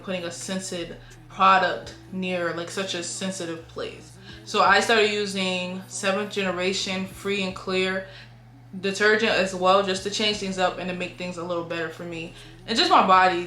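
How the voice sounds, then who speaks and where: A woman's voice: mid-range voice, fairly bright tone, echoey room. A young woman giving frank, chatty advice straight to her viewers, full of "like" and "y'all". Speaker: beauty and lifestyle vlogger. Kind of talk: casual advice monologue to camera.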